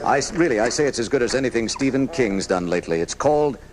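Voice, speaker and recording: A man's voice: medium pitch, lively intonation, fast talker, crowd noise, lightly acted. A man acting in a 1980s radio drama as a bookish, grandiloquent lover of macabre tales, taped off the radio by an amateur. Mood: eager. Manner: grandiloquent, alliterative, eager storyteller. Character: theatrical, bookish male host devoted to macabre horror tales.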